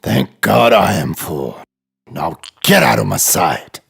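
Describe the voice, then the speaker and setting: Haughty storybook lion; very gravelly, slow, commanding and dismissive. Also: male voice, fairly low pitch, very animated, exaggerated voice. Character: haughty grown male lion, gruff king of jungle. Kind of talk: children's storybook acting.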